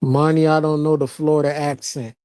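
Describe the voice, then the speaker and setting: A live-stream host answers viewer comments in loose, unscripted chat. The voice sits in a middle pitch, and the talk is broken up by frequent laughter and casual swearing. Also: little pitch movement, a little fast, warm tone. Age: adult with a child, past teens. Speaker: livestreamer reading viewer chat.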